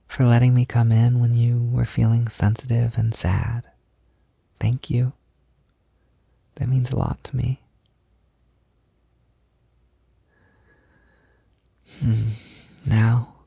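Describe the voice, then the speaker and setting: A man playing a gentle, affectionate boyfriend in an intimate roleplay audio, speaking softly and soothingly, with unhurried reassurance. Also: medium pitch, creaky, husky, dry recording, studio quality. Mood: tender, soothing. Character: gentle, affectionate boyfriend comforting his insecure partner. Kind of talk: intimate roleplay audio, soft-spoken ASMR.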